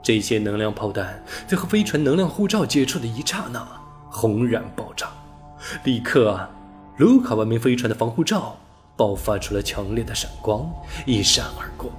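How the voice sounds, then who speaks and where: A man reading a science fiction novel aloud as an audiobook narrator, steady and storytelling, lifting his voice on key words. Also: medium pitch, normal speed, faint music behind. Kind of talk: scripted sci-fi novel narration.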